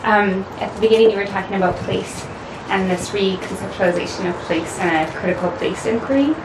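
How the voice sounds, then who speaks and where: A woman's voice: medium pitch, brisk. A woman in the audience asking a long question, hesitant and searching for words. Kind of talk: question from the floor. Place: community farm event space. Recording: distant voice, echoey room, loud noise.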